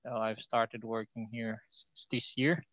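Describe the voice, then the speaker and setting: A man speaks slowly and hesitantly in a friendly, casual self-introduction. The video call makes his voice dull and lo-fi, with little sound of the room. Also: mid-range voice, fairly even intonation, a bit bright, no room sound. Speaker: ICT and knowledge management specialist.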